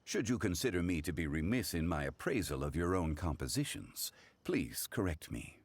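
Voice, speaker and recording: Learned gentleman consultant for a game: very deep, composed, measured, formally polite. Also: big pitch swings, slightly rough, dry recording, heavily acted. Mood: courteous. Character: dignified, erudite gentleman consultant, calm and formal. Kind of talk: animated video game voice acting.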